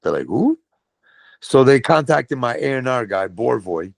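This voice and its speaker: Gravelly Brooklyn man telling stories. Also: mid-range voice, measured pace, no room sound. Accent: New York. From Brooklyn, New York. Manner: profane, animated storytelling with voiced quotes. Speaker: guest, metal vocalist. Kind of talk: casual storytelling.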